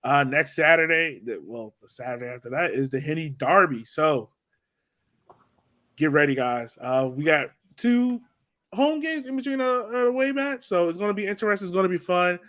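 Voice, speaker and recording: A man's voice: fairly high pitch, lively intonation, moderate pace, bright, forceful tone, dry recording, studio quality. A man co-hosting a casual sports podcast, chatting about a game in a playful, joking way. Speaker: podcast co-host.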